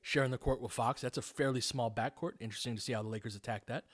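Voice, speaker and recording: Guy doing live hoops play-by-play, medium pitch, clipped phrases, casual but analytical. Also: steady tone, fast talker. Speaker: basketball play-by-play commentator.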